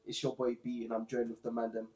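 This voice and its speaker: A man from Zimbabwe hosting a casual book discussion podcast, talking in a relaxed way with frequent restarts. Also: medium pitch, echoey room. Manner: relaxed, halting with repeated restarts. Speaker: podcast host.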